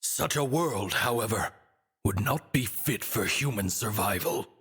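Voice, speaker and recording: A man playing a lofty, amused godlike being in a video game cutscene, speaking in grand, deliberate, archaic phrases. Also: lowish voice, animated, fairly bright tone, breathy edge, exaggerated voice. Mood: solemn, imperious. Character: lofty, ancient male godlike being granting wishes, amused and formal.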